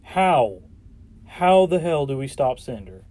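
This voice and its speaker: A man's voice: mid-range voice, very animated, takes it slowly, a little mellow, dry recording. An actor playing a sarcastic, skeptical man, a reluctant chosen one, in an audio drama, talking with exasperation. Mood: sarcastic, exasperated. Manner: sardonic, exasperated.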